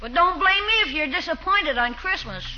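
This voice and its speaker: Shrill, whiny schoolboy. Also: female voice, expressive, normal speed, pushed and bright, exaggerated voice. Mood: insistent. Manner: whiny, wheedling, slangy. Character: eager, stubborn schoolboy nephew, whiny and cheeky. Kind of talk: 1940s radio comedy acting. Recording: dull, noisy 1940s radio recording.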